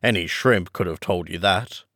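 A man's voice: sits low, normal speed, fairly bright tone, no room sound, clean studio sound. Man playing a brusque, solemn griffin beast. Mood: impatient. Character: gruff, impatient male griffin creature, solemn. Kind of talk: children's storybook character voice.

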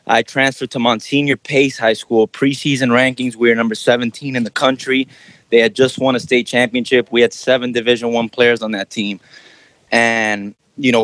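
A young adult man from Miami tells his story in a relaxed podcast chat, full of "you know" fillers. His voice sits at an everyday middle pitch. The sound is close and dry, with no room echo. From Miami, Florida. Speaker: basketball trainer. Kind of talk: casual podcast interview.

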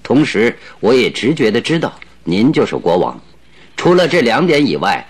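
Husky, courteous detective, lively intonation. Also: male voice, medium pitch. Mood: courteous, confident. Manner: calm, polite, deliberate. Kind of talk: Mandarin radio drama acting.

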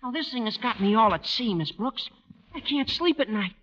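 An actor playing a lovesick, overwrought teenage high school boy with a plaintive delivery in a vintage radio sitcom. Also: animated, normal speed, a bit bright. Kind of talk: 1940s-50s radio sitcom acting. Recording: muffled, hissy old radio, studio audience.

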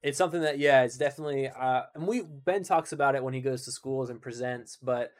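A man's voice: mid-range voice, brisk. A man in his twenties co-hosting a casual podcast conversation, talking in an easygoing way with many fillers and restarts. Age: 20s.

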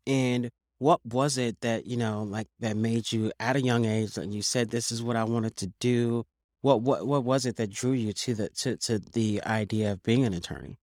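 A man's voice: mid-range voice, fairly even intonation, normal speed, darkish tone, no echo, studio quality. A man hosting a podcast interview, asking long, rambling questions full of fillers and restarts. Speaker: podcast host.

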